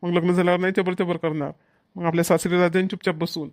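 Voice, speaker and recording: Someone doing a complainy cartoon brother, village kid, rattles words off fast, vidarbha marathi accent. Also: medium pitch, flat delivery, darkish tone, close to an everyday voice. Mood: complaining. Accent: Maharashtrian, Vidarbha Marathi. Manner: complaining, argumentative. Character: whiny, argumentative boy, brother in village family. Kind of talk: cartoon family comedy dialogue.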